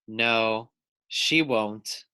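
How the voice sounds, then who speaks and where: A man's voice: lowish voice, very slow, bright, forceful tone, clear, resonant tone, pitched below the performer's own voice, exaggerated voice. A man voicing a sly Arctic fox, a dramatic trickster in a children's storybook. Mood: sly coaxing.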